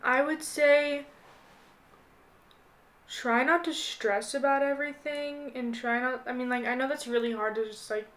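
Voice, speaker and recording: Young female guest, high-pitched with little melodic movement, loose conversational phrasing. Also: steady tone, measured pace, fairly bright tone. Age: young adult. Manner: informal, frequent "like". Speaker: podcast guest. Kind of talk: casual chat.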